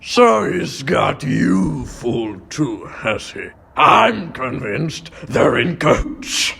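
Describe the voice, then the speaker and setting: A man playing a blustering, bossy newspaper publisher in a comic dub, gravelly, demanding and indignant. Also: mid-range voice, sing-song, slow, crowd noise, full character voice. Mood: indignant, suspicious. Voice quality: gravelly, forceful.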